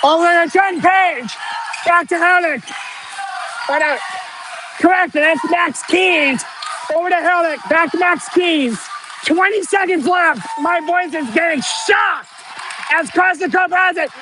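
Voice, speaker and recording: High-pitched male announcer, hoarse and straining, unhurried excited basketball calls, noisy gym. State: strained, losing his voice. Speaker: amateur play-by-play announcer. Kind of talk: live basketball play-by-play commentary. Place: indoor school gym. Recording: noisy gym with ball bounces.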